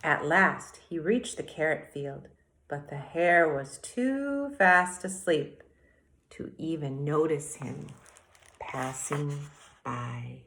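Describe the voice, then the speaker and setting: A woman teacher reading aloud and explaining to young children in a deliberate, expressive way, speaking to them directly. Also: low voice, creaky. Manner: deliberate, addresses children directly with questions and asides. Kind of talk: expressive children's read-aloud and mini lesson.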